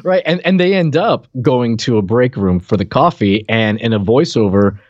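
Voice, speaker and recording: A man's voice: mid-range voice, lively intonation, normal speed, no room sound. Dull-sounding dry recording, amused podcast man. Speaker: podcast co-host. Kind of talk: casual chat, commenting on a TV comedy.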